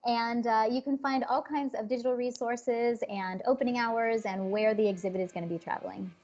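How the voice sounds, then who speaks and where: Halting woman guest on a lo-fi line. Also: fairly high pitch, steady tone, a little mellow. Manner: thoughtful, halting, many restarts. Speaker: interview guest. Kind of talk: casual interview answers. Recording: dull lo-fi sound.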